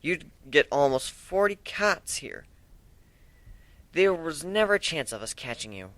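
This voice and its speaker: A man's voice: on the high side, big pitch swings, unhurried, fairly bright tone, dry recording, voice pushed up. A man voicing a studious, earnest young female pony magician who explains and lectures, in a cartoon fan story. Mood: earnest explaining.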